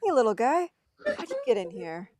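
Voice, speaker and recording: A woman's voice: sits high, wildly swooping pitch, moderate pace, background noise. A woman playing an incredulous, easily startled young man in an amateur table read. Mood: nervous.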